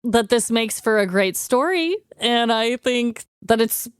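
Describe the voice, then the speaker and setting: Clear, lively American woman chatting. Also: on the high side, unhurried, no echo, clean studio sound. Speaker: podcast co-host. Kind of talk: casual chat.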